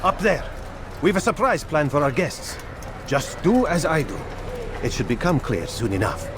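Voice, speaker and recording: An actor playing an earnest assassin comrade in a video game, giving urgent instructions. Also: male voice, fairly high pitch, very animated, normal speed, background noise. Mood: brisk, instructing. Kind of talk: video game cutscene acting.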